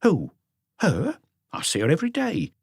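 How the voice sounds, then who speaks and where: A man's voice: a little high, huge pitch swings, normal speed, vocal fry, breathy edge, no room sound, studio quality, voice pushed up, exaggerated voice. A man voicing a blunt, guarded female concierge in an audiobook, giving curt, offhand answers.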